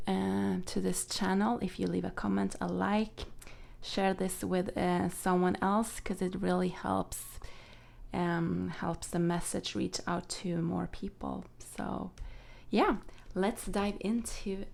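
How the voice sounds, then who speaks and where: Soft-spoken woman with a gravelly low voice. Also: level tone, takes it slowly. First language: Swedish. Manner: soft, hesitant, many fillers. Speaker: tarot reader. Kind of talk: pick-a-card tarot reading.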